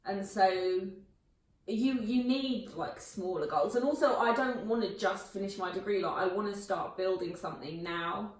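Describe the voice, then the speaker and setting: A woman's voice: medium pitch, moderate pace. A young woman co-hosting a podcast, chatting casually with frequent fillers. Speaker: podcast co-host. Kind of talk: casual chat. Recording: very echoey room, mic at a distance.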